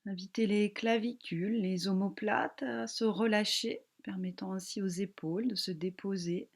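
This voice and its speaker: A woman yoga teacher guiding a relaxation meditation in a calm, gentle, soothing manner with long pauses. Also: mid-range voice, vocal fry.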